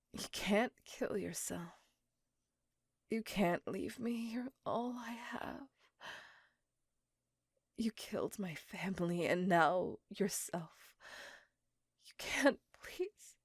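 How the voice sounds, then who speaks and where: A woman voicing an intense, possessive, centuries-old female vampire in a dramatic, breathy ASMR roleplay, often dropping into a whisper. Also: medium pitch, drawn-out words, long pauses, dry recording, clean studio sound, exaggerated voice. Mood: menacing, coaxing, then desperate, pleading.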